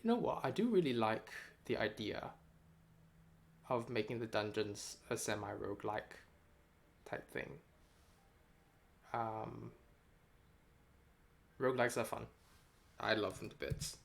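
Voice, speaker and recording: A man's voice: lowish voice, lively intonation, slow, vocal fry, long pauses. A man chatting casually and unscripted on a game development livestream, rambling as he thinks aloud and swearing freely.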